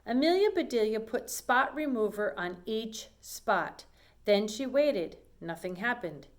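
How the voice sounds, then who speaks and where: A woman's voice: on the high side, expressive, measured pace. Woman reading a children's story aloud. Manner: simple explanatory phrasing, addressing young listeners. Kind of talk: read-aloud storytime with explanatory asides.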